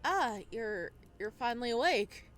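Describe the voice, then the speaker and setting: Reticent young man at a lonely cabin read by a woman, halting and awkward, visual novel, slightly noisy recording. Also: on the high side, animated, fairly bright tone. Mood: awkward, hesitant. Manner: hesitant, halting.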